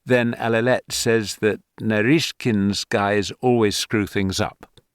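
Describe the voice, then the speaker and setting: A man's voice: on the low side, fairly even intonation, slow pace, clear, resonant tone, no room sound, clean studio sound. A man doing an English woman scholar on the phone. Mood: explaining.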